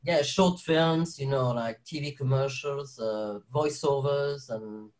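A young man from Belgium speaks English with a soft French touch. He talks in a loose, rambling way, restarting sentences and laughing often as he tells his story. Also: mid-range voice, slow pace. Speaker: guest actor. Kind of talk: casual interview chat.

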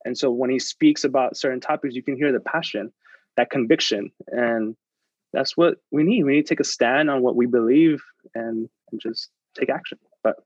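A man's voice: mid-range voice, normal speed, husky, dry recording. Male podcast guest, native Spanish speaker, motivational and sincere, lo-fi dry sound. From United States. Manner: earnest, motivational, repeats words as he restarts. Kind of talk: casual interview chat.

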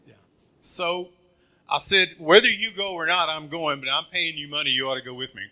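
A man giving a sermon testimony in English, speaking in short phrases and pausing for his interpreter. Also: fairly high pitch, sharp tone. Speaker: guest preacher.

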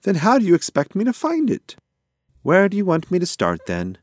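Storybook pigeon, played by a man: fussy, scatterbrained, blustering, pitched high with big swoops. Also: big pitch swings, fairly quick, no room sound, studio quality. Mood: impatient. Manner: blustering, exclamatory. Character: excitable, muddle-headed, fussy male pigeon friend. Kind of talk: children's storybook character voice.

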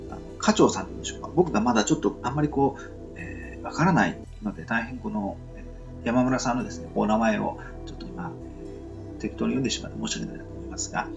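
Guy doing a laid-back solo radio show, gravelly creak, humble and kinda stumbling, soft guitar music in back. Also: mid-range voice, expressive, lo-fi sound. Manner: halting, apologetic, self-deprecating; polite and humble. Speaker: podcast host introducing web novels. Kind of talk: casual solo radio talk.

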